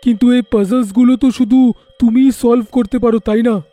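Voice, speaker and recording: A protective husband and father in a cartoon story, voiced by a man. His voice sits very high for a man, with a mellow tone in a dry, close recording. He sounds alarmed and quick to scold. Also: fairly even intonation, no room sound, faint music behind. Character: worried protective family man. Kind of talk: cartoon voice acting.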